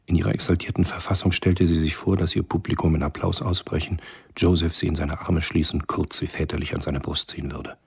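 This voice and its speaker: An actor as a grave, detached storyteller, giving measured literary narration in a radio drama. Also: male voice, little pitch movement, heavily acted. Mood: reflective. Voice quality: very deep, very husky. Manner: measured, understated.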